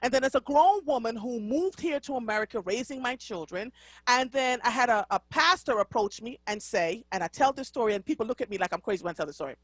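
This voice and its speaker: A woman's voice: a little high, lively intonation, quick pace, a bit bright, no room sound. A middle-aged woman from Jamaica with a Jamaican accent, hosting a live radio talk show in an effusive, exclamatory way and laughing often.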